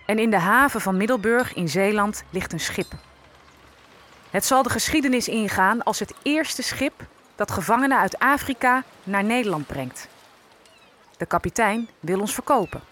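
A woman's voice: mid-range voice, expressive, normal speed, a bit bright, a little hiss or hum, natural voice. A woman playing an African captive who tells her own story in solemn, dramatised first-person narration.

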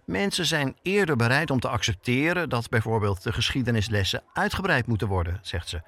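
A man with a mid-pitched voice narrates as a reporter. He delivers prepared documentary narration. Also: animated, fairly quick, a bit bright, dry recording. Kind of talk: scripted narration.

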